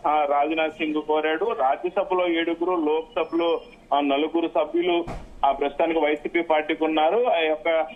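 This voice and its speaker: A man giving an unscripted live political news report, in halting, repetitive phrasing. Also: on the high side. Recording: phone-like, thin narrowband line.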